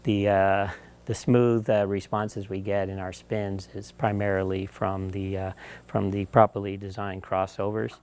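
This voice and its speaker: A man giving a technical explanation as an engineer, speaking in a halting, hesitant way. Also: fairly low pitch, flat delivery, a little mellow, clean tone. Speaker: loudspeaker engineer.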